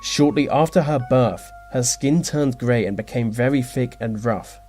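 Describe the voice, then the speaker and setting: Guy doing list video voiceover, medium pitch, dry deadpan humor, bit of music behind him. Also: unhurried. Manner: dry, wry deadpan asides. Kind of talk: scripted narration. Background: background music.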